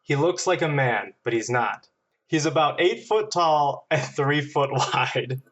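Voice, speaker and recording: A man's voice: on the high side, expressive, a little slow, a bit bright. Man playfully reading stories aloud. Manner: playful, amused storytelling. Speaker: podcast guest and editor. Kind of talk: reading listener stories aloud in casual banter.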